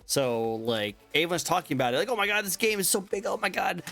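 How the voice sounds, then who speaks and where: A man's voice: sits high, very animated, fast talker, creaky, bright, forceful tone, soft background music. A man hosting a casual online interview show, upbeat and playful, often repeating his own phrases. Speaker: talk show host.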